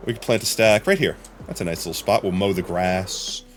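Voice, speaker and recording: A young adult man streaming a game live, chatting casually with his viewers in a hoarse, rambling way. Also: low-pitched, fairly quick, soft background music. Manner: chatty, rambling, often addressing chat.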